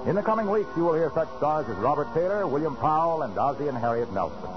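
Animated, high-pitched 1940s radio announcer man. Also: fast talker. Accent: American. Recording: muffled 1940s radio broadcast with music.

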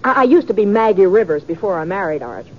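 A woman's voice: mid-range voice, big pitch swings, fast talker, lightly acted. Woman playing a folksy, wry frontier wife. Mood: plainspoken. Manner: folksy, frank, tart. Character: plainspoken, wry Old West wife and singer. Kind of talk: 1950s radio western acting. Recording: dull, faintly noisy 1950s radio recording.

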